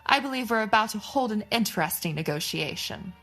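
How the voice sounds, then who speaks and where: A coolly bargaining crime boss for an audio drama. Her husky voice moves with lively pitch, always polite and poised. Faint music sits behind. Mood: coolly calculating. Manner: suave, measured, polite. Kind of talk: modern audio drama acting.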